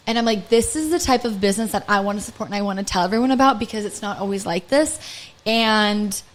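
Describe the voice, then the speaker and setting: A woman's voice: mid-range voice, fast. A woman hosting a casual podcast interview, gushing and upbeat as she talks. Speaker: podcast host, spa manager.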